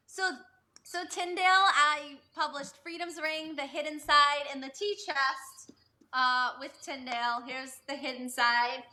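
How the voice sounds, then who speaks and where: A woman from Massachusetts with a Boston accent, an author answering interview questions eagerly and enthusiastically, her voice sounding distant and quiet. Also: sits high, fairly even intonation, unhurried. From Massachusetts, USA. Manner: enthusiastic, eager, laughs easily. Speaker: author, guest.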